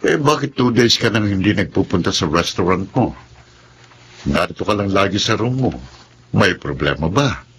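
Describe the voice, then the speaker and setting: A man's voice: deep voice, expressive, moderate pace, fairly bright tone, slight background noise. An actor playing a caring, easygoing middle-aged father in a Filipino radio drama. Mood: concerned. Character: caring, easygoing older father eager for grandchildren. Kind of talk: Tagalog radio drama acting.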